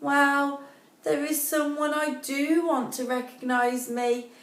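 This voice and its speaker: A woman's voice: high-pitched, flat delivery, slow pace, voice pushed up. Lady doing a sweet wistful young princess, fairy tale style, echoey and bit distant. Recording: echoey room, distant mic.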